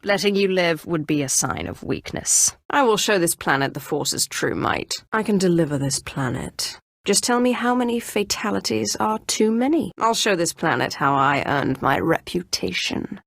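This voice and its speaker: An actress playing a ruthless, imperious Sith Inquisitor in a video game, with a haughty, menacing, deliberate delivery. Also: female voice, fairly low pitch, no echo, clean studio sound. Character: ruthless, imperious female Sith Inquisitor, dark sorceress of the Empire. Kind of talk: video game character voice acting.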